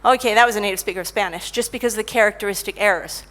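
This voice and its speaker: A middle-aged woman giving a conference talk about technical writing, lively and self-mocking as she tells stories. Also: medium pitch, racing, low-quality recording. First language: English. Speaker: conference speaker, technical writer.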